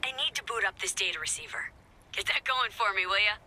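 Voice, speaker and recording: A woman's voice: medium pitch, expressive, fast, sharp tone, slight background noise. A woman voicing a flippant, impatient resistance member in a video game, with sarcastic, chatty asides. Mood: breezy, bossy. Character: flippant, impatient female resistance member scheming behind her leader's back. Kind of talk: animated video game voice acting.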